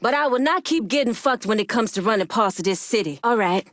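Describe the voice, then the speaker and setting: Cheffe de gang autoritaire et fière. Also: female voice, on the high side, lively intonation, a bit bright, dry recording, clean studio sound. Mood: angry, defiant. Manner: firm, deliberate, authoritative. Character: commanding, proud woman crime boss, shrewd and quick to anger. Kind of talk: video game voice acting.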